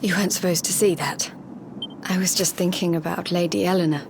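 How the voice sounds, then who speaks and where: An actress playing an earnest, wistful young noblewoman, gentle and reflective, in a dramatic video game scene. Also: on the low side, steady tone, brisk, background noise, close to an everyday voice. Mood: startled, shy. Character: earnest, wistful young noblewoman, soft-hearted but resolute. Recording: music under the voice. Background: music.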